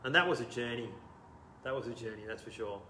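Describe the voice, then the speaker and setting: A man in his thirties with an Australian accent, the guest in a casual interview, telling his story animatedly, laughing often and trailing off quietly. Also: mid-range voice, fast, roomy sound, slight background noise. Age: 30s.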